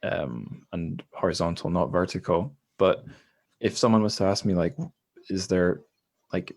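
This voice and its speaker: A man's voice: deep voice, unhurried, a little mellow, dry recording. A Scottish man hosting a podcast interview in casual chat, rambling with self-deprecating asides. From Scotland. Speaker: podcast host.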